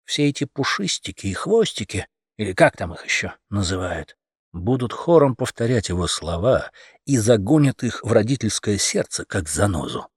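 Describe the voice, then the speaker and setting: A man narrating a satirical fairy tale as an expressive audiobook storyteller. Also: mid-range voice, breathy edge, no room sound, studio quality.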